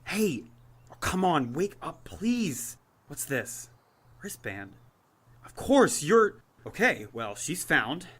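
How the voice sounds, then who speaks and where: A man's voice: a little high, very animated, slow, fairly bright tone, slight background noise, heavily acted. A man playing a hesitant, awkward young man. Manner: hesitant, self-mocking.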